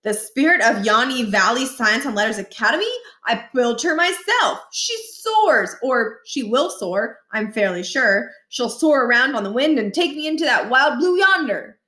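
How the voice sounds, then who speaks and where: A woman's voice: on the high side, sing-song, normal speed, sharp tone, reverberant, pitched above the performer's own voice. A woman voicing a pompous, blustering middle-aged male former school principal from a children's storybook, with formal, huffy delivery. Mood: proud.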